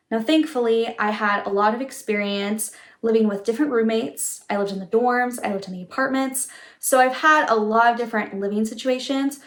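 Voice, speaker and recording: Casting: young female advice vlogger, encouraging delivery, slightly high voice, clearly echoey room recording. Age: young adult. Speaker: vlogger giving application advice. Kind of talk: friendly advice vlog to camera. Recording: roomy, echoing room sound.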